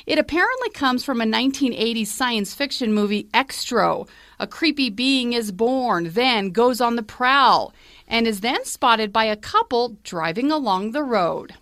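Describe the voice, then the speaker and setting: A woman reading a TV news report in a crisp, scripted way. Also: on the high side, lively intonation, a little slow, a bit bright, no room sound, clean studio sound.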